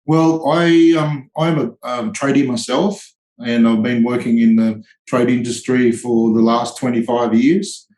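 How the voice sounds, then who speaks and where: A man's voice: mid-range voice, fairly even intonation, measured pace, darkish tone, reverberant, rough recording. A man in his forties from Tonga, a tradie and charity founder, speaking hesitantly in a podcast interview with many ums and restarts.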